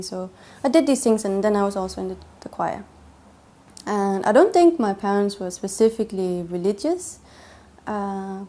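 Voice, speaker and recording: A woman's voice: medium pitch, warm tone. Hesitant woman telling her story. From Denmark. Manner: hesitant, many fillers and restarts. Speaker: interviewee. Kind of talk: personal testimony interview.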